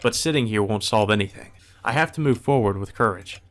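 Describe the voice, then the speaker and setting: A man's voice: fairly low pitch, animated, fairly quick. A man reading a visual novel aloud as a let's player, mixing plain narration with casual, wry asides. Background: music.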